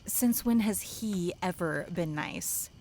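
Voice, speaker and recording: A woman's voice: fairly low pitch, slow pace, a little mellow. A woman playing a blunt, foul-mouthed young female camp counselor in an audio drama, sardonic and combative. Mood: scornful.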